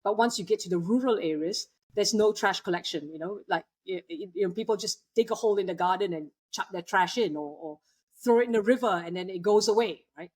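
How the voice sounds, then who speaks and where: A woman's voice: mid-range voice, a little fast, a little mellow, husky. A woman from Malaysia, a guest in an interview, talking in a hesitant way with many fillers and restarts. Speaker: guest, waste technology entrepreneur. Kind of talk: conversational interview.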